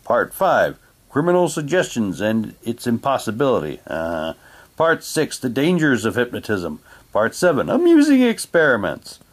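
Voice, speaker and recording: A man chatting casually while reading documents aloud, haltingly, with muttered asides to himself. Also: mid-range voice, huge pitch swings. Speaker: reviewer unboxing an audio drama's props.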